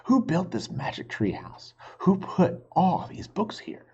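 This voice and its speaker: A man's voice: sits high, huge pitch swings, measured pace, creaky, darkish tone, slight background noise, voice pushed up, heavily acted. A man reading aloud, voicing a thoughtful, cautious young boy who keeps asking puzzled questions, on a dull lo-fi recording.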